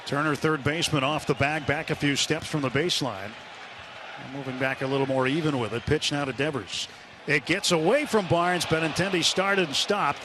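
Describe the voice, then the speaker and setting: A man's voice: fairly high pitch, moderate pace. A man doing live radio play-by-play of a baseball game, in clipped descriptive phrases that lift on big plays. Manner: clipped descriptive phrasing, lifting into excited calls. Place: ballpark broadcast booth. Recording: radio broadcast with loud ballpark crowd noise.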